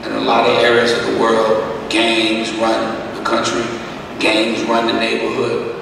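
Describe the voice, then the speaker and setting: A middle-aged man teaching a Bible study as pastor, talking conversationally with many fillers and a slightly rough voice, at a podium mic. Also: fairly low pitch, monotone, a little slow. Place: church hall, indoors. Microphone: podium microphone, far camera. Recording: echoey hall, distant mic, background hiss.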